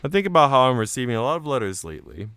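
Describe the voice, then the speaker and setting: A man's voice: medium pitch, very animated, very fast, a bit bright, clear, resonant tone, no room sound. A man narrating a story from a visual novel, reading both the prose and the dialogue aloud.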